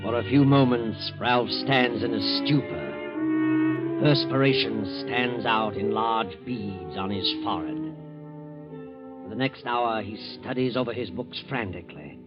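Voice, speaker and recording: A man's voice: on the low side, measured pace, over loud music. A man narrating a 1940s radio drama in dramatic scripted style, on a muffled vintage recording. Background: musical accompaniment.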